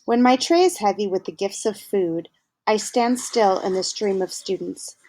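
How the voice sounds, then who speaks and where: A woman reading a children's novel aloud in a gentle storytelling manner, pausing to ask her listeners questions. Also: medium pitch, low-quality recording. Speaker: read-aloud narrator.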